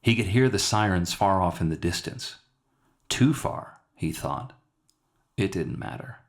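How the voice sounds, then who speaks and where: A man's voice: low-pitched, animated, a little slow, gravelly. A man reading scripted fiction as the narrator of his own short story.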